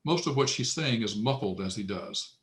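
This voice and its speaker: A man's voice: on the low side, expressive, normal speed, fairly bright tone. A man narrating stage directions matter-of-factly at a screenplay table read.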